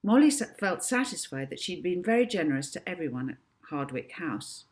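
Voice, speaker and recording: A woman author reading her own children's book aloud as expressive narration. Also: on the low side, fairly quick.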